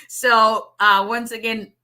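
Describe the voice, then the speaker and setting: Middle-aged woman with a Nigerian-Southern US accent, a lawyer on a casual live stream: bright, punchy tone, fast and chatty. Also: fairly high pitch. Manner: fast, chatty, with frequent asides and laughs.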